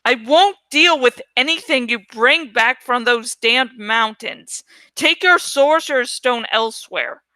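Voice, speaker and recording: A woman voicing a gruff, surly, calculating male village butcher in a storybook reading, curt and impatient. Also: a little high, a little slow, bright, forceful tone, dry recording. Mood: angry, threatening.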